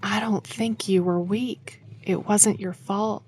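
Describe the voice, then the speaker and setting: A woman's voice: mid-range voice, steady tone, takes it slowly, a little mellow, natural voice. Lady acting as older wife and grieving mom in an audio drama, straight talking but consoling, mid voice, bit of hum behind. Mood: sombre, consoling.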